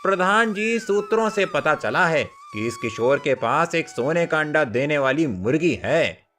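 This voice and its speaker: A man's voice: on the high side, lively intonation, measured pace, bright, forceful tone. A man voicing a servile, sneaky clerk, the deferential henchman of a cartoon villain. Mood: servile, secretive.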